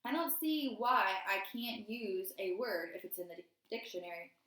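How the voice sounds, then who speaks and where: A woman's voice: medium pitch, normal speed, a bit bright, lightly acted. A woman voicing an earnest, defensive schoolboy in a storybook, pleading his case insistently. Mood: matter-of-fact insistence. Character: earnest, defensive fifth-grade boy in a storybook. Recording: roomy, echoey indoor sound.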